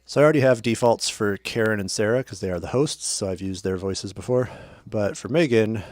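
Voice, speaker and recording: A man with a lowish voice walks through his editing workflow in a relaxed, chatty way. He trips over his words now and then and narrates as he clicks. The sound is dry, with no room echo. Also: fairly quick. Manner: chatty, self-correcting, talks while working. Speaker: audio editing tutorial host. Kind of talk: casual screen-share tutorial.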